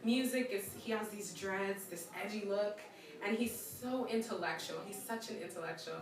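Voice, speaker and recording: A young woman, a musician with Ghanaian parents, chatting in a live-stream interview. She is lively, laughs often and acts out the people she quotes. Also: medium pitch, normal speed, crowd noise. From United States, Ghanaian parents. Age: young adult. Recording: echoey room, far from the mic, audience around.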